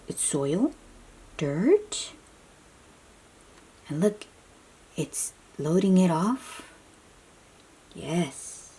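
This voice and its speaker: Slow, low-voiced woman reading to children. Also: warm tone, husky. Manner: addresses young listeners with questions and simple explanations. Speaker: children's read-aloud host. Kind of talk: picture-book read-aloud for young children.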